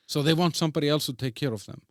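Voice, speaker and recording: A man's voice: fairly high pitch, rapid-fire, dry recording, clean studio sound. A man from Iceland hosting a podcast in casual interview chat, speaking fluently and opinionatedly as he leads the questions. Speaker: podcast host.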